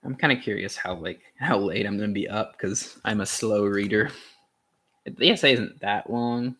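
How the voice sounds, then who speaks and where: Man reading aloud, halting delivery. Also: fairly low pitch, fairly bright tone, breathy edge. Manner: halting, stumbles and corrects himself. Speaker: amateur reader of a philosophy essay. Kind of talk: reading aloud from an essay, with casual asides.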